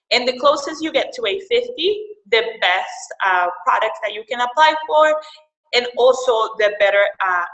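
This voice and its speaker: A woman's voice: fairly high pitch, bright, forceful tone, reverberant, poor audio. A young adult woman from El Salvador who is a financial counselor, presenting a webinar in a conversational way with frequent fillers and repeated words.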